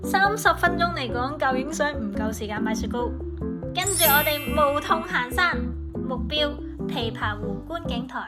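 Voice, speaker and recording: A woman narrating a travel vlog in a brisk, chatty tour-guide tone. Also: high voice, animated. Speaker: travel vlogger. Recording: voice-over with loud background music.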